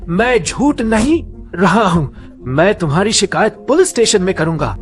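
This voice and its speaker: A man's voice: high voice, very animated, moderate pace, soft background music, voice pushed up. A man voicing an angry customer in a cartoon story, a worried father who is indignant and accusing. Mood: furious, threatening.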